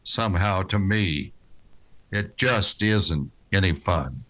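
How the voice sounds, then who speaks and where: A man playing a tough, reserved frontier marshal in a western radio drama, speaking in a terse, deliberate way. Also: low-pitched, dull audio. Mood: somber reluctance.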